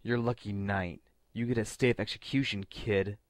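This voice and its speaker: A man's voice: on the low side, steady tone, normal speed, no room sound, clean studio sound, natural voice. A man playing a cocky, sneering henchman in a superhero fan dub, taunting with tough-guy menace. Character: sneering, cocky male henchman and bird handler.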